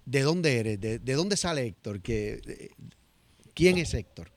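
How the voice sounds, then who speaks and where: A man's voice: medium pitch, expressive, slow, darkish tone. A man with a Puerto Rican Spanish accent interviewing casually on a podcast, often repeating words as he forms his sentences. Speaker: guest interviewer.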